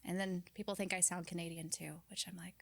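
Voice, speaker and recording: A woman's voice: on the low side, flat delivery, fast, vocal fry. A woman from Southern California with a Southern Californian accent, co-hosting a podcast in casual chat, laughing often and ending lines on a rise. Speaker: podcast co-host.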